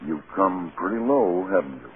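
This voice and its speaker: An actor playing a grave, reproving court judge with a clipped, formal delivery in 1930s radio style. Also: male voice, sits low, expressive, moderate pace. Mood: stern. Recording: scratchy, tinny 1930s radio broadcast.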